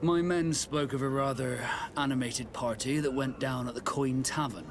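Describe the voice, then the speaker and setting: An actor playing a proud, composed young sea captain in a fantasy game, speaking in a measured, formal way. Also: male voice, medium pitch, fairly even intonation, background noise, lightly acted. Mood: formal, businesslike. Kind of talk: scripted fantasy game dialogue. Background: music.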